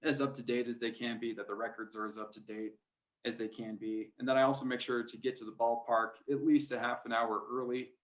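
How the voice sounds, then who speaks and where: Man, mid-pitched voice, easygoing, chatty, casual interview storytelling. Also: little pitch movement, fairly quick. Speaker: podcast guest, high school sports play-by-play announcer.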